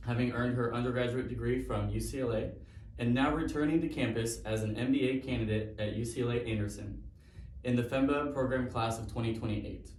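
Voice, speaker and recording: A man's voice: mid-range voice, darkish tone, far from the mic, slight background noise. A man hosting a podcast, giving a formal, admiring introduction and asking interview questions. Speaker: podcast host. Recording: echoey room, voice from off mic.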